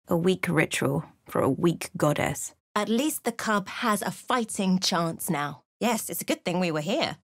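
An actress voicing a brooding, watchful female adventurer in a fantasy video game, telling the tale in a measured, hushed, dramatic way. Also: lowish voice, dry recording, clean studio sound. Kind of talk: dramatic narrated game voice lines.

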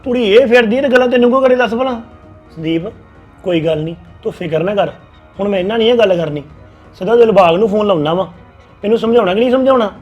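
A man's voice: high voice, fast talker, highs cut off, soft background music, lightly acted. An actor playing a protective husband who reassures his crying wife and speaks firmly about the family. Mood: reassuring. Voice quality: reassuring, firm. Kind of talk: family drama acting.

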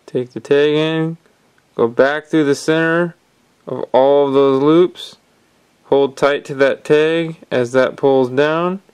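Guy explaining fishing knots step by step, clear smooth voice, bit higher pitched. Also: takes it slowly. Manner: calm step-by-step explaining while tying. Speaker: fishing instructor. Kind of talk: hands-on knot-tying tutorial. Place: indoors at a wooden table.

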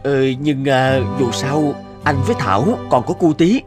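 A man playing a hesitant, remorseful husband and tender father in an animated drama. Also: medium pitch, normal speed, lightly acted. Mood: hesitant, evasive. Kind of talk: animated cartoon drama acting. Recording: loud music behind the voice. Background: music.

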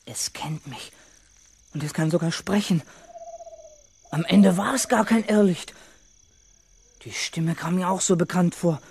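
Radio play lead: nervous dreamer of a schoolboy, awed self-talk, slightly noisy tape. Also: male voice, sits high, measured pace, pauses often, a little mellow. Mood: surprised, relieved. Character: imaginative, jittery schoolboy talking to himself, bragging. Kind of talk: 1970s German radio play acting.

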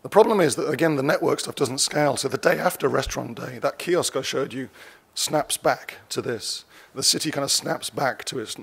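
Gravelly man giving a conference keynote. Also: mid-range voice, moderate pace, fairly bright tone. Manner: conversational, self-correcting, dryly wry asides. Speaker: keynote speaker. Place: conference stage. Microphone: lectern mic.

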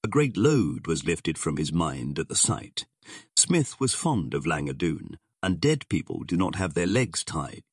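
A man with a husky voice reading scripted narration of a pulp adventure story as an audiobook narrator. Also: low-pitched, sing-song, unhurried, no room sound, clean studio sound.

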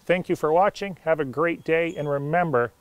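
A man's voice: a little high, moderate pace, noisy background. A middle-aged man presenting a property tour as a real estate agent, in an upbeat, scripted salesman's delivery.

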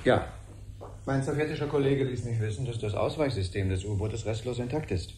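An actor playing an evasive, unflappable ambassador, speaking in an official, placating manner in a 1970s radio drama. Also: male voice, medium pitch, fairly quick, warm tone, close to an everyday voice. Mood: glib, placating. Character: smooth-talking, evasive male ambassador, unflappably dismissive. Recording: 1970s radio production, faint background noise.

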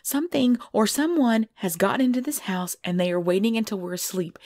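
A woman's voice: fairly high pitch, darkish tone, no echo, natural voice. A woman playing a cute, easily frightened girlfriend at bedtime in an intimate ASMR-style audio roleplay. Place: dry close recording indoors.

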